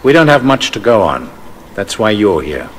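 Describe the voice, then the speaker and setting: An actor playing a stern, middle-aged police superintendent in a video game, speaking formally and deliberately with authority. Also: male voice, lowish voice, big pitch swings, a little hiss or hum. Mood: cool, commanding. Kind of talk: video game cutscene acting. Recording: long echoing room reverb.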